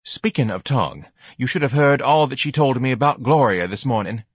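A man's voice: medium pitch, animated, a little fast, slightly rough, dry recording, studio quality, voice pushed up. A man voicing a self-righteous, melodramatic middle-aged wife in an audiobook, nagging and reproachful. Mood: accusing. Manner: indignant, reproachful, dramatic.

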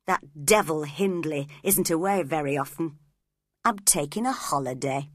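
A woman voicing a volatile young woman who is imperious and quick to anger. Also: sits low, no room sound, clean studio sound, voice pushed down. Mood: coaxing.

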